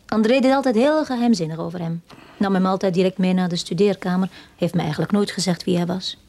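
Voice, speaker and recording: A woman plays an anxious wife in a radio play. Her voice sits at an average pitch. She speaks with insistence, pressing others to believe her. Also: fast, a little mellow, lightly acted. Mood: puzzled. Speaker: actor in a radio drama. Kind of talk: Dutch-language radio play acting.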